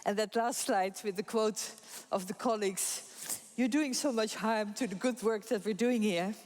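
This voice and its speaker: A woman's voice: medium pitch, normal speed, darkish tone. Chatty woman moderating a conference panel. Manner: chatty, informal, laughs easily. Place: conference room with audience. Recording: reverberant conference hall.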